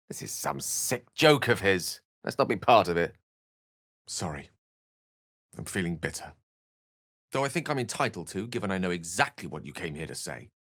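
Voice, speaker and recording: A scholarly wizard of middle years in a fantasy video game. His lowish voice moves through a lively range of pitch, with ornate, theatrical phrasing and a wry, learned temper. The recording is close and dry, with no room sound. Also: no room sound. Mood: dry amusement, then sombre, earnest. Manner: articulate, theatrical, verbose phrasing. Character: eloquent, wry, scholarly male wizard adventurer.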